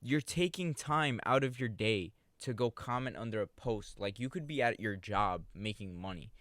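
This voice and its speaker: A man's voice: lowish voice, lively intonation, normal speed, fairly bright tone, no room sound. A man co-hosting a sports podcast, ranting heatedly and swearing. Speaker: podcast co-host. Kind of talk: casual sports chat.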